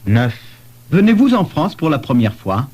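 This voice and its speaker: A man playing a polite questioner in a scripted language-lesson dialogue, articulating deliberately. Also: a little high, very animated, darkish tone, muffled recording. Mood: polite, inquiring.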